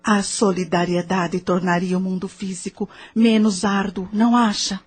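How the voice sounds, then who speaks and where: A Brazilian woman acting a patient, moralizing spirit mentor in an audio drama, measured, probing and didactic. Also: mid-range voice, level tone, a little mellow, husky, natural voice. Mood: gently reproving.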